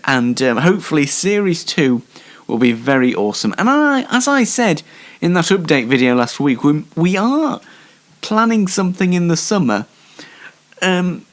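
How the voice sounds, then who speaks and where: Animated, higher-voiced man hosting online. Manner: halting, self-deprecating, wry. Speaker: host of an online show. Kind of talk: casual live show monologue with light news commentary.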